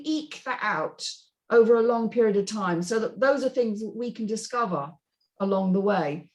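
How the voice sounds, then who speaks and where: A woman on a script reading panel giving an unscripted, emphatic and blunt critique in discussion. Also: on the high side.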